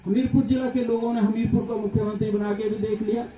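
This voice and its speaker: A man's voice: high-pitched, little pitch movement, fast. A man delivering a political speech at a public gathering, building his points through repeated lists. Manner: rhetorical, repetitive lists. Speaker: political speaker. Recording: echoey, distant, dull sound.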